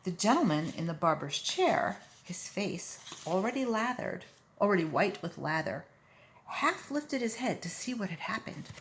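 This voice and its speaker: A woman reading a children's story aloud in an expressive, emphatic storytelling manner. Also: medium pitch, moderate pace, slight background noise, rough recording. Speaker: volunteer story reader.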